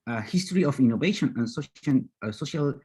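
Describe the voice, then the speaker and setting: A young man from Chile with a Chilean accent, a native Spanish speaker giving a loose presentation in halting, repetitive phrases. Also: mid-range voice, expressive, dark tone, slightly rough. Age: young adult. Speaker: student panelist. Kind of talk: loose, semi-improvised presentation. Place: online video call.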